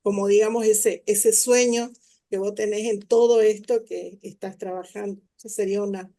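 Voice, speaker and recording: A woman's voice: mid-range voice, steady tone, moderate pace, warm tone. A woman moderating an academic talk over a video call, hesitant, with many fillers and restarts. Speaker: symposium moderator.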